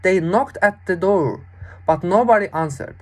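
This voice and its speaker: Low-voiced young woman telling a fairy tale. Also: normal speed. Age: young adult. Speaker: student storyteller. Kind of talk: fairy tale storytelling for an assignment.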